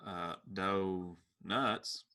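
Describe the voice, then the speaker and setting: A man playing a teasing band member in a comic table read, with a deadpan delivery. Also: low voice, drawn-out words, exaggerated voice.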